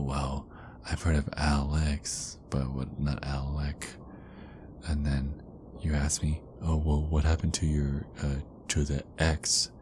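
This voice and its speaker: Very deep-voiced, whispery werewolf boyfriend, acted by a man. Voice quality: very deep, breathy, often dropping into whispers. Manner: hesitant, rambling, murmured pillow talk. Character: big affectionate male werewolf boyfriend, playful and apologetic. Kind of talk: intimate ASMR boyfriend roleplay. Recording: clearly noisy background ambience.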